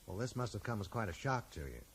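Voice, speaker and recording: A man's voice: on the low side, very animated, fast talker, fairly bright tone, breathy edge, heavily acted. An actor playing a reserved, polite man who asks tactful, hesitant questions in a radio drama. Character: reserved, polite man quietly probing a mystery. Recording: faint noise, 1980s radio play.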